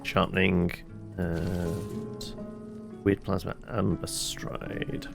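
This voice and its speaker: A man's voice: low-pitched, little pitch movement, extremely slow, vocal fry, over music. A man giving casual let's-play commentary, muttering his thoughts aloud as he plays and swearing now and then. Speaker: gaming channel host.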